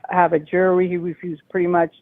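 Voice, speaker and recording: A woman's voice: fairly low pitch, level tone, a little fast. Rambling woman caller on a phone line. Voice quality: loud voice. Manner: rambling, agitated storytelling. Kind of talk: call-in show phone call.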